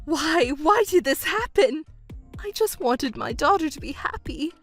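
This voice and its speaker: Casting: woman to play possessive, self-pitying mother, middle-aged, melodramatic, high voice with big swoops, family drama. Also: expressive, normal speed, soft background music. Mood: distraught disbelief. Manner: melodramatic, wailing, indignant. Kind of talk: acted dialogue in a narrated family drama.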